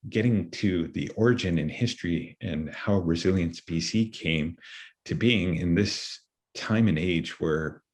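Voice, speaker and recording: Deep-voiced Canadian man hosting. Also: a little slow. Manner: earnest, searching for words with many fillers. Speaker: interview host. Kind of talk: conversational interview.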